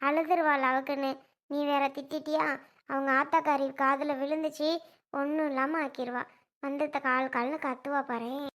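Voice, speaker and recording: Woman playing a fretful grandmother, high bright voice. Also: flat delivery, normal speed. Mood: worried, grumbling. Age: older. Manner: fretful, coaxing. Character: fretful grandmother, soothing and complaining.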